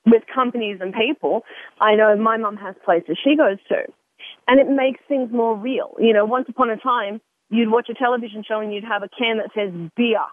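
A woman's voice: a little high, fairly even intonation, normal speed, dry recording. A woman hosting a radio talk show, chatty and often restarting her sentences. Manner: chatty, self-interrupting, repeats words. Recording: narrow phone-like line.

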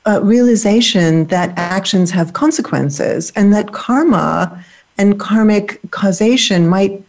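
A woman's voice: mid-range voice, slow pace, darkish tone. A woman hosting an interview, asking long thoughtful questions and searching for words. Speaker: host. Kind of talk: interview conversation.

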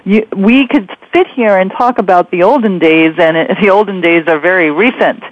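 A woman's voice: mid-range voice, moderate pace, dry recording. A woman hosting a radio talk show, speaking conversationally and emphatically, addressing the listener directly. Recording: slightly dull, lo-fi radio sound.

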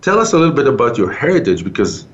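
A man hosting a conversational radio interview in a halting, informal way. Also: mid-range voice, sing-song, lo-fi sound, a little hiss or hum. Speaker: talk show host.

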